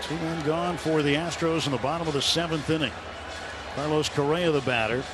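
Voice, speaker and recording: A man's voice: on the high side, a little fast. A man doing live baseball play-by-play on radio from a stadium booth, with colorful, folksy turns of phrase. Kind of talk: live sports commentary. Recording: loud ballpark crowd and noise. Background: roaring stadium crowd.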